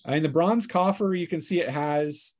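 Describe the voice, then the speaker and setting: Man running a tabletop game, halting delivery. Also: on the high side, a little mellow. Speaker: game master. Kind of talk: tabletop role-playing game narration, casual and improvised.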